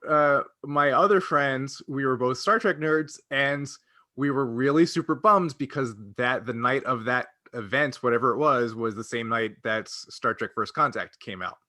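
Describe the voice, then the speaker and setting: Bright-voiced man reminiscing casually. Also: mid-range voice, normal speed, no echo, clean studio sound. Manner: rambling, hesitant, self-interrupting. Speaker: podcast co-host. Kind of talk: casual chat, personal reminiscing.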